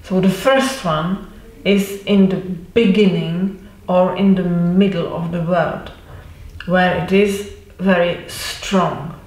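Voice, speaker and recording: A woman whose first language is Czech speaks very slowly and deliberately, repeating sounds again and again as she teaches pronunciation. She sits indoors at mid distance from a fixed camera, and the room adds a noticeable echo. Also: on the low side, steady tone, a little mellow. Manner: slow, deliberate, reassuring, repeats sounds to demonstrate. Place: indoor room with wooden door and bookshelf. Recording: roomy echo.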